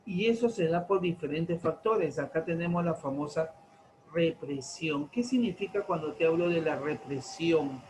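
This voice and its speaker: Deliberate man in his forties, a teacher. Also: on the high side, darkish tone, a little hiss or hum, poor audio. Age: 40s. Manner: slow and deliberate, stretching out key terms, many rhetorical questions. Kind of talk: classroom lecture to teenagers.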